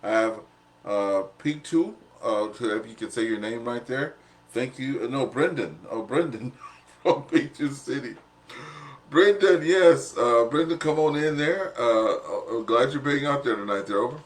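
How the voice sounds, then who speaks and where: A man's voice: mid-range voice, a little slow. Jovial man hosting a radio net. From southern United States. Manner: jovial, chatty, self-deprecating. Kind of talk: casual amateur radio net chat.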